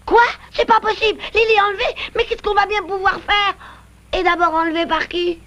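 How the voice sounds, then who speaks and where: A woman's voice: extremely high pitch, steady tone, brisk, a bit bright. A woman voicing a young, grumbly but loyal bear cub in a cartoon, blustery and childlike. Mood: shocked, worried. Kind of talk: French-language cartoon dubbing. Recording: dull, muffled old VHS soundtrack.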